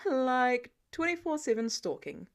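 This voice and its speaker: A smug, wealthy older man, played by a woman narrator in an audiobook. He teases and goads in a deadpan, self-assured way, with a lively pitch that dips for emphasis. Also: unhurried, heavily acted. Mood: matter-of-fact. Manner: matter-of-fact, deadpan, goading. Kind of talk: audiobook character dialogue.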